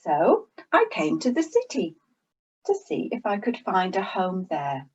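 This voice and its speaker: A woman voicing a gentle young male bumblebee in a children's storybook, in a bright, expressive voice. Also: medium pitch, measured pace, husky, highs cut off, heavily acted. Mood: worried.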